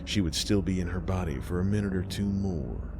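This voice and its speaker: A man narrating a horror story and talking to his listener in a folksy, unhurried way. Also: low-pitched, noisy background. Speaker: storyteller host.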